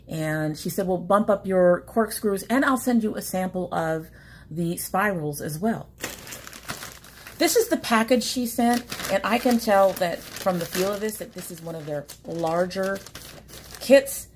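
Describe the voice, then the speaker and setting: A woman's voice: on the low side, a little slow, a little hiss or hum. Chatty sniffly woman unboxing at home. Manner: chatty, rambling, self-interrupting. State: sniffly from allergies. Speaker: beauty vlogger. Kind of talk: casual unboxing chat. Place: small room at home, indoors. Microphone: webcam at desk.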